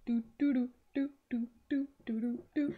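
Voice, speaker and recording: A young woman with a French Canadian accent, whose first language is French, chatting casually and excitably while streaming a game, swearing freely and humming to herself. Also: on the high side, normal speed, breathy edge, narrow, tinny audio. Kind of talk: casual live-stream chat while gaming. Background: faint game music.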